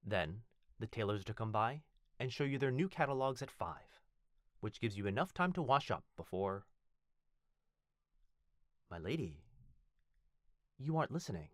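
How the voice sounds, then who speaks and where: Man acting a low-voiced, devoted, courteous butler. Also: very animated, normal speed, pauses often, dry recording, clean studio sound. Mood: attentive, polite. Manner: formal, deferential, fond, softly teasing. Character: devoted young head butler, courteous, gently teasing. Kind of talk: ASMR boyfriend roleplay, scripted acting.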